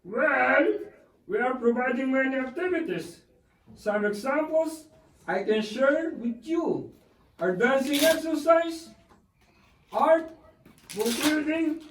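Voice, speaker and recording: Guy doing a cheerful monkey puppet guest, super high pitched, kinda far from the mic, careful reading. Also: expressive, slow pace. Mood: polite, earnest. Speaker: interviewed guest who works in a day program. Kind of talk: scripted puppet show interview. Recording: echoey room, far from mic.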